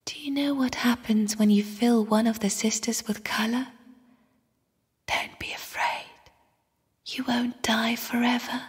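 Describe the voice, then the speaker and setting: An actress playing a mysterious, sorrowful young spirit in a video game, speaking in a hushed, solemn, airy whisper. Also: female voice, fairly high pitch, fairly even intonation, breathy edge. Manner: slow, hushed, solemn delivery. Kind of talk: video game character voice acting. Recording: long cavernous reverb.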